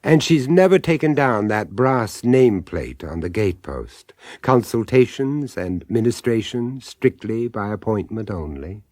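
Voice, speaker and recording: An older man hosting and narrating a radio horror drama, relishing its dark irony with sly emphasis. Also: lowish voice, lively intonation, a little slow, few pauses, no echo, studio quality. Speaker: host and narrator.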